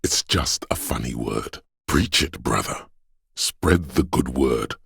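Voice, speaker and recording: Very deep, gravelly, sleazy inner tempter. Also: male voice, animated, slow pace, a bit bright, no room sound, studio quality, full character voice. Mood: sleazy, coaxing. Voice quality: gravelly, husky. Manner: insinuating, goading, drawling. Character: hedonistic inner voice of addiction, sleazy coaxing tempter in the brain. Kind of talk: video game voice lines.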